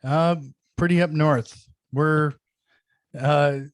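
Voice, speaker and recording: Host with a slight northern accent. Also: male voice, on the high side, fairly even intonation, very slow, clean tone, no room sound. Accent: slight Canadian-sounding northern accent. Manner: short backchannels, easygoing questions. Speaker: podcast host. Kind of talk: podcast interview.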